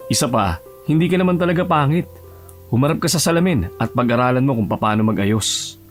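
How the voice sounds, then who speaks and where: A man with a Filipino accent playing an aloof, blunt young bachelor with a calm, serious manner in an audio romance drama. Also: medium pitch, brisk, faint music behind, natural voice. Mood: firm advising.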